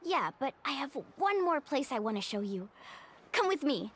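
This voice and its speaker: An actress playing a friendly, sincere young adventurer in a video game, with an upbeat delivery. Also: female voice, high voice, sing-song, normal speed, a bit bright, slight background noise.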